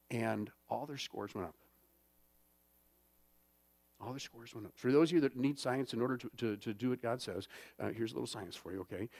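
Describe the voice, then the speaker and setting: A man's voice: medium pitch, vocal fry, no echo. A man preaching a sermon in a church, talking in a conversational way and often checking in with the congregation. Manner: conversational, many fillers and check-ins. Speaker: pastor.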